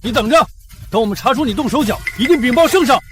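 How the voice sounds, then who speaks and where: Very high-pitched declamatory court man. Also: expressive, fast talker, music in the background. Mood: threatening. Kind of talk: Chinese costume short-drama acting.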